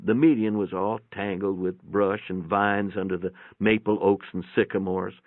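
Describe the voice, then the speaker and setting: Low-voiced man narrating, narrow radio-like sound. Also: fairly even intonation, normal speed, no room sound. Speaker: narrator, reader. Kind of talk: dramatic reading of a first-person short story. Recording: band-limited, radio-like sound.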